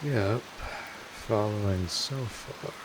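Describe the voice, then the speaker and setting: Male actor as seasoned vampire mentor for audio roleplay: deep, unhurried, wry, streetwise, patient with the newcomer, over steady rain-like hiss. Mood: wry, measured. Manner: wry, unhurried, casual, with swearing. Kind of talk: scripted audio roleplay, one-sided conversation to the listener.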